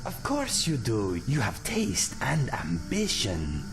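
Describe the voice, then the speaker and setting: A man's voice: medium pitch, wildly swooping pitch, slow, background noise, full character voice. Droll, swooping roguish adventurer acted by a man. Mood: wry. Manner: droll, dry, theatrical. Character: witty, roguish male ex-bandit adventurer, wry and loyal. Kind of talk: video game companion dialogue. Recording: music behind the voice.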